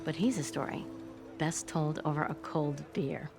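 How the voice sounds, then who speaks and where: Brash, wry smith, lowish voice. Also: female voice, normal speed, over music. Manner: wry, folksy, sardonic. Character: brash, wry woman smith, beer-loving old friend. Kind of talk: video game cutscene acting.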